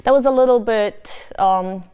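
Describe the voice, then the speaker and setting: A woman from Poland whose first language is Polish, a university language faculty member answering interview questions in a measured, explanatory way. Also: fairly high pitch, mellow, smooth.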